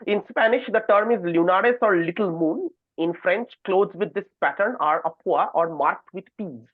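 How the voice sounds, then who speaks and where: A man's voice: sits high, moderate pace, no room sound. A man hosting a quiz over a voice call, reading out long questions and adding informal asides as he moderates the contestants. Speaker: quiz host. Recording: narrow phone-like online call audio.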